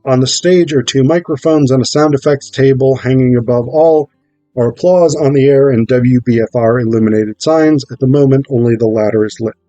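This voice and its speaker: A man getting over a cold gives an informal, analytical talk about theatre, thinking aloud in a halting way with rhetorical check-ins. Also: medium pitch, warm tone, no echo, soft background music, rough recording. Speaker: theatre performer explaining a play.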